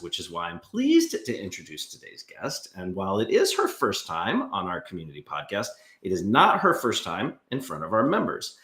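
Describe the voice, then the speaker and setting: A man hosting a podcast interview, introducing his guest and asking questions with an enthusiastic manner. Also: medium pitch. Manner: enthusiastic, lively delivery. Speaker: podcast host. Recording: roomy echo.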